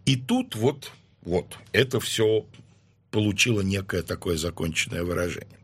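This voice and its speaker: A man speaking as a guest historian in an interview, explaining at a measured pace with many hesitations. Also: low voice, lively intonation, slightly rough. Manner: measured, lecturing with frequent hesitations.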